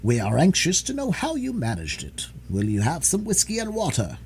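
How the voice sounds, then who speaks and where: A man voicing a composed, dryly courteous gentleman detective with a grave, sententious manner, in a storybook reading. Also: on the high side, wildly swooping pitch, normal speed, slight background noise, voice pushed up.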